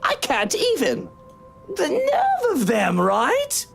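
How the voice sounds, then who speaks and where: An actor playing a very drunk, maudlin husband in a visual novel, slurring and rambling melodramatically. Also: male voice, extremely high pitch, huge pitch swings, slow pace, gravelly, a bit bright, heavily acted. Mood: drunken, aggrieved. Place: studio game voice track with music behind.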